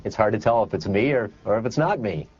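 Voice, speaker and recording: A man with a smooth baritone who voices automated phone lines as a voiceover artist. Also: fast, a little mellow. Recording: dull, muffled clips.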